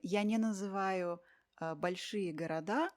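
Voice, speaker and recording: A woman from Russia talking as a guest in a conversation for learners, speaking unhurriedly and clearly with soft thoughtful fillers and pauses. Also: medium pitch.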